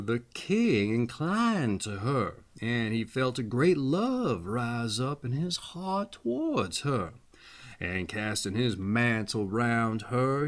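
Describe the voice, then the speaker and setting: A man reading a fairy tale aloud as a storyteller, with expressive, theatrical narration. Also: medium pitch.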